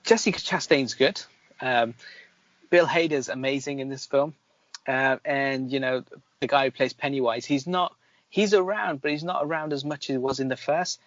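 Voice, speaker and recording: A man's voice: medium pitch, moderate pace, a bit bright, dry recording. Man chatting about films, dull lo-fi sound. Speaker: podcast host reviewing films. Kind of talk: casual review chat.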